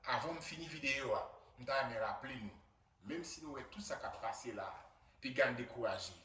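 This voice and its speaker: A man's voice: medium pitch, lively intonation, brisk. A man with a Haitian accent, a doctor giving an earnest, emphatic motivational video message to his community. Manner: earnest and emphatic, rising loudly on key points. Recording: roomy echo, distant mic, faint background noise.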